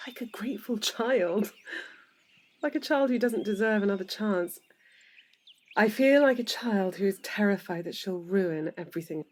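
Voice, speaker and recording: A woman's voice: medium pitch, lively intonation, moderate pace, a little mellow, close to an everyday voice. A woman with a British accent playing an anxious, yearning middle-aged professional in an audio drama, with an emotional, hesitant, well-spoken delivery. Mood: vulnerable.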